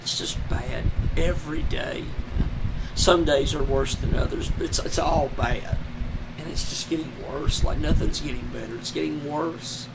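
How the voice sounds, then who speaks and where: Hoarse man, rough fry in the voice, slow broken delivery, lying on a floor with heavy AC noise behind. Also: fairly high pitch. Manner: slow, halting, despairing, many trailing restarts. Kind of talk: casual personal vlog.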